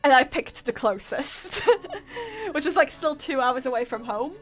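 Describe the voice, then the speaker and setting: Young Polish-native woman streamer, slow laughing anecdote, faint music behind. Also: high voice, sing-song, a bit bright. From Poland. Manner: giggly, self-mocking, chatty. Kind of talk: casual storytelling to chat.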